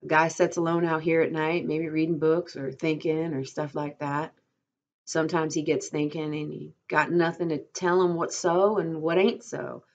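A woman's voice: sits low, little pitch movement, moderate pace, dull audio, slight background noise. A woman voicing a lonely, bitter, needling male stable hand in a story reading, using rustic dialect with a pressing, brooding delivery.

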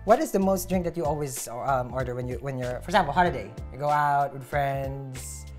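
A man's voice: medium pitch, brisk, faint music behind. A man in his thirties hosting a casual drink-mixing demonstration, chatting in a playful, joking way. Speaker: host mixing cocktails. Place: indoor home bar room.

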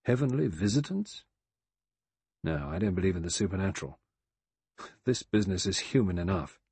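A man's voice: low voice, lively intonation, moderate pace, a little mellow, slightly rough, no room sound, heavily acted. A man voicing a cool, sardonic, shrewd male adventurer in an audiobook, with an easy, mocking manner. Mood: dismissive.

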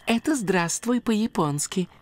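A woman voicing a serene, solemn old Japanese temple in a children's cartoon, speaking in a measured, contemplative way. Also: medium pitch, expressive, natural voice. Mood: serene, poetic. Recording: music behind the voice.

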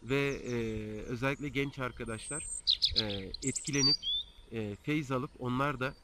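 A man in his thirties speaks casually into a phone held at arm's length, high on a mountain. He talks in a relaxed way, with frequent hesitation sounds. Heavy outdoor noise and birdsong fill the recording. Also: normal speed. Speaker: fishing vlogger. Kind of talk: casual talk to camera. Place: outdoors on a mountainside above the clouds.

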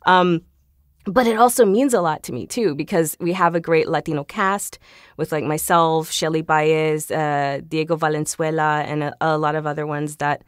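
A woman's voice: low voice, steady tone, moderate pace, clean tone, dry recording, studio quality. A woman voice actor guesting on a podcast, bubbly and laughing, with frequent ums. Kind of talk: casual interview.

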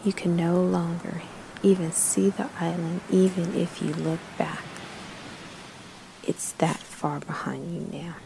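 Female meditation guide, hushed and soothing, gravelly creak in the voice, unhurried pauses, noticeable background hiss. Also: fairly low pitch, level tone. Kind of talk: slow guided meditation narration.

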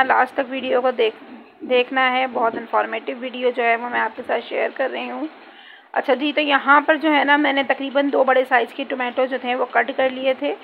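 Gravelly woman narrating a home recipe. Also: high-pitched, a little hiss or hum. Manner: chatty step-by-step explaining with frequent filler phrases. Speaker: cooking channel host. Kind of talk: home cooking tutorial narration. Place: home kitchen. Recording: dull lo-fi home recording.

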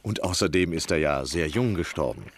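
An eager literary critic in a radio play, genteel and obsessed. His voice sits low and speaks with earnest ardour. Light water and boat noise runs behind him. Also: expressive, fairly quick. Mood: hushed excitement. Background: water and boat ambience.

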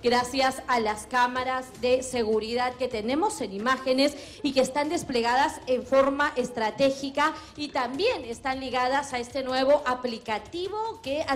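Peruvian girl reporter live outside, high voice, quick formal news tone. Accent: Peruvian Spanish. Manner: brisk, formal reporter delivery. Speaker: field reporter. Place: outdoors on a city street. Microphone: handheld mic.

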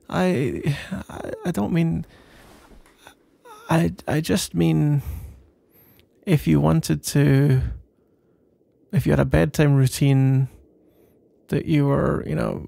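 Man acting a gravelly, flustered young best friend. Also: fairly high pitch, dark tone, no echo, exaggerated voice. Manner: halting, stammering, awkward, mumbling. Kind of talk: intimate ASMR audio roleplay.

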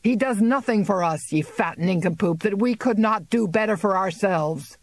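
A reader voicing a defiant male tenant farmer of about thirty who speaks harshly and shouts in anger. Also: medium pitch, moderate pace, no echo, voice pushed up, close to an everyday voice. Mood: furious. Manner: shouting, harsh and rough-spoken.

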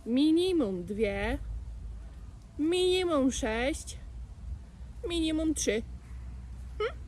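A woman with a high voice hosts a quiz for children. She puts playful questions slowly and leaves space between them. A faint ambient noise sits behind her. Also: expressive, a little mellow.

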